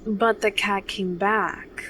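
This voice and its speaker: A woman playing a fond, gently teasing frontier mother in an audio drama. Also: medium pitch, fairly even intonation, slow pace, slight background noise.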